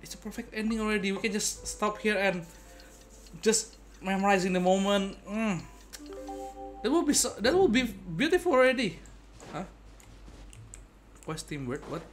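A man's voice: high-pitched, animated, normal speed, pauses often. A man giving casual live gameplay commentary, playfully muttering and breaking into sudden exclamations. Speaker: game streamer. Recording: game music faintly behind.

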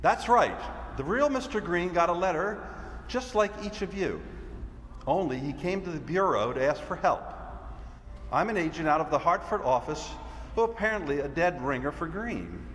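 A man's voice: fairly high pitch, animated, moderate pace, a bit bright. A man playing a smug FBI agent in a comic stage mystery, declaiming with self-satisfaction. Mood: smug, assertive. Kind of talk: theatrical stage audition reading. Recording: reverberant hall, faint background noise.

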